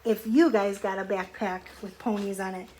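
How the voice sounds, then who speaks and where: A woman's voice: mid-range voice, steady tone, normal speed, a little mellow, slight background noise. A woman teacher reading a storybook aloud to young children, expressively, with conversational asides and questions.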